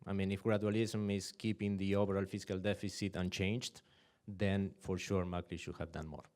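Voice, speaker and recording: A man's voice: deep voice, level tone, moderate pace, darkish tone. A man on a panel giving a short, matter-of-fact answer. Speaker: panelist, economist.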